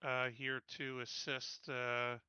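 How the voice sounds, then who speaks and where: A man's voice: mid-range voice, level tone, slow, sharp tone, clear, resonant tone, dry recording, muffled recording. A man playing a mercenary who reports in over comms, formal but hesitant, in a tabletop game.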